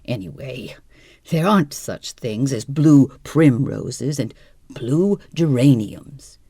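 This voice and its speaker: A woman's voice: extremely low pitch, lively intonation, takes it slowly, vocal fry, dark tone, slightly rough, dry recording, clean studio sound, exaggerated voice. A woman doing an amused, easygoing husband. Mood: wry. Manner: cheerful, mildly teasing. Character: good-natured, amused middle-aged husband.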